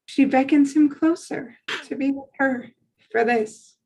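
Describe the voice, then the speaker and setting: Female narrator for screenplay action lines: high-pitched, unhurried, scripted reading. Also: darkish tone, rough recording. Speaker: narrator of stage directions. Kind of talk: scripted narration at a table read.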